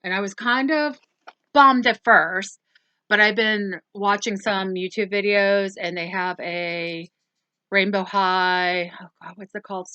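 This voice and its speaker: A woman's voice: medium pitch, fairly even intonation, a little slow, bright, forceful tone, clear, resonant tone, dry recording, highs cut off. A woman hosting a casual doll review, rambling along with lots of ums and self-corrections. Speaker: toy review channel host.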